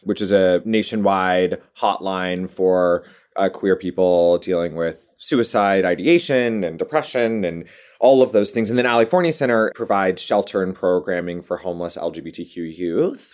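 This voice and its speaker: A woman's voice: very deep voice, moderate pace, a little mellow. A woman in her late twenties, a guest in a casual interview, talking playfully and laughing often. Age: 20s.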